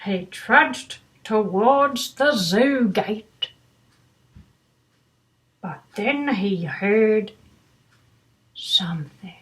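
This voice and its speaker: A woman's voice: medium pitch, lively intonation, very slow, pauses often, fairly bright tone. An older woman reading a children's bedtime story aloud with doting, grandmotherly asides. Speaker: storybook reader.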